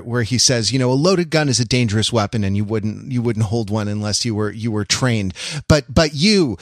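A man hosting a podcast, talking casually and analytically, halting and digressive as he thinks aloud. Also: medium pitch, a bit bright, no room sound, studio quality. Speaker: podcast host. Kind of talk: casual analytical discussion of a TV show.

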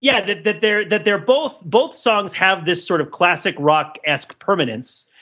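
A bright, forceful man with a slightly high voice, talking casually as a podcast panelist. He digresses freely in wry, animated analysis. The sound is a little dull and lo-fi. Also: normal speed. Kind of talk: casual discussion, rambling pop culture analysis.

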